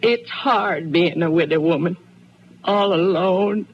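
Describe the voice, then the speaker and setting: Plaintive poor frontier mother, rural Western American accent, very slow, muffled old radio recording. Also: on the low side. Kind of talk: old-time radio Western drama acting. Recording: muffled, faintly noisy vintage radio recording.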